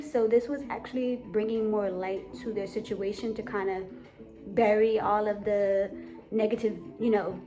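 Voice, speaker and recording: A woman improvising a spiritual tarot reading for an audience, speaking in halting phrases and often tagging statements to check the listener is following. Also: mid-range voice. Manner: halting phrasing, punctuated with repeated checking tags. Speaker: tarot reader and psychic channeler.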